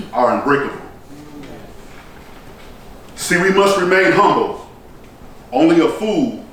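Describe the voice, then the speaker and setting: A man performing a theatrical monologue like a rousing speech, solemn and emphatic, with deliberate dramatic pauses. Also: a little high, fairly bright tone. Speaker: stage performer delivering a monologue. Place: echoey performance space, recorded from a distance. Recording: roomy echoing hall, distant mic, faint noise.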